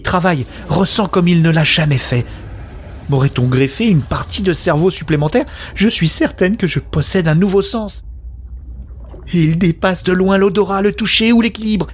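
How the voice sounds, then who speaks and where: A man's voice: on the high side, a little hiss or hum, close to an everyday voice. A man playing a wonderstruck woman who narrates a science-fiction audio drama in the first person, in earnest short phrases. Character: wonderstruck woman newly linked to a shared consciousness.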